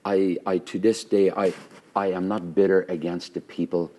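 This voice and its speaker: A middle-aged man, a pastor, talking with a guest in an earnest, fervent way, with a naturally loud voice, repeating phrases for emphasis. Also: low-pitched, little pitch movement, moderate pace, a little hiss or hum. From Canada. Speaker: pastor hosting a conversation. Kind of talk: earnest conversational interview.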